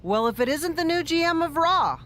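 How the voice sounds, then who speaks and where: A laid-back veteran wrestler woman in a game, candid, up and down intonation, rumbly background. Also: lively intonation, fairly quick, fairly bright tone, slight background noise. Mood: wry, reassuring. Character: easygoing veteran female wrestler seeking a grudge match. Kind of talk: video game cutscene acting.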